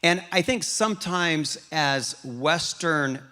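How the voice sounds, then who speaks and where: Bright-voiced man preaching a story sermon. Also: a little high, takes it slowly. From grew up in Liberia, West Africa, as an American. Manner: storytelling, animated, with repeated restarts. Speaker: pastor.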